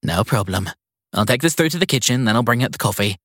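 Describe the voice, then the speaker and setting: A man voicing a friendly, chatty middle-aged woman who runs a seaside guesthouse, homely and brisk, in an audiobook. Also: mid-range voice, expressive, fairly bright tone, dry recording, clean studio sound. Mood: helpful, chatty. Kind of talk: audiobook character dialogue.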